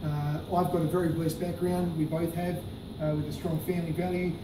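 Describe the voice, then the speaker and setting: A man speaking to a packed meeting room, loud and friendly, rambling with lots of fillers. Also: on the high side, little pitch movement, brisk. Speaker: business presenter. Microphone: handheld microphone held away. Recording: echoey room, distant mic, noisy.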